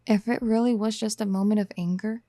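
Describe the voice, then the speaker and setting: A woman playing a possessive, motherly yandere in a soft-spoken ASMR roleplay, gentle and coaxing, often slipping toward a breathy whisper. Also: medium pitch, steady tone, a little fast, dry recording, lightly acted. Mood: soothing, persuasive. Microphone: close studio mic.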